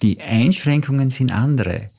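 A middle-aged man with an Austrian German accent, a travel author telling anecdotes in a reflective, measured way as an interview guest. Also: mid-range voice, expressive, gravelly, mellow, breathy edge. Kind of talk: interview answers, storytelling.

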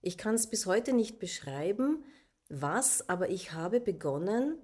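A middle-aged woman with an Austrian German accent and Slovene as her first language tells a personal story in a reflective, hesitant way, pausing and checking in with questioning asides. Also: medium pitch, big pitch swings, darkish tone, breathy edge. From southern Austria, Carinthia. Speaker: interviewee.

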